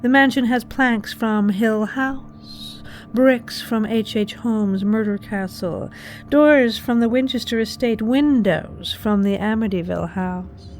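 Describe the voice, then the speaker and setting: An actress playing the poised, seductive and sinister mistress of a haunted mansion, formal and controlled in a horror audio drama. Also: female voice, a little high, slow, a little mellow. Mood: solemn.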